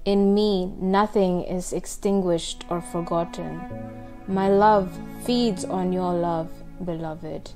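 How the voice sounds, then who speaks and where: A woman recites poetry at a slow pace in a slightly low voice. Instrumental music plays clearly behind her as she reads. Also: fairly even intonation.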